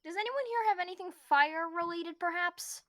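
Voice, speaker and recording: Very high, bright, lively woman player. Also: moderate pace, no echo, clean studio sound. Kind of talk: casual tabletop roleplay chat.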